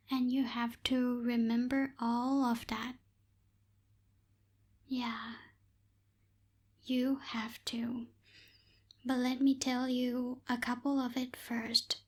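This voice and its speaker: A woman playing a proud, bossy but helpful young night witch in an ASMR roleplay, speaking hesitantly with soft asides, close to the microphone. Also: fairly high pitch. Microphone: close binaural microphone.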